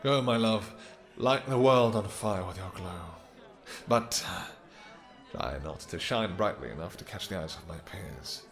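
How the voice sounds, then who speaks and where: Man voicing a low-pitched, possessive vampire king over music. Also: a little slow. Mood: doting, flirtatious. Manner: silky, archaic endearments, mock-polite menace. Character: possessive, courtly male vampire king, doting yet menacing. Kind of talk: romantic fantasy audio roleplay, intimate acting.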